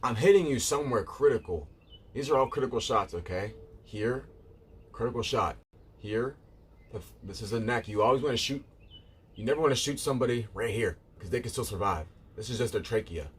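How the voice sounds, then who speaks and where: A man's voice: medium pitch, expressive, a little fast, a little hiss or hum. A man live streaming, chatting casually with viewers in a rambling way, repeating himself and calling them "bro".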